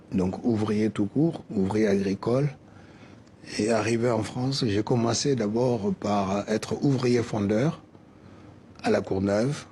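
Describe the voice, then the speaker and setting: A man in his seventies, a native Soninke speaker originally from West Africa, answering as the guest of a TV interview in a measured, earnest way. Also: fairly low pitch, darkish tone, slight background noise. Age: 70s. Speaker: association president, guest.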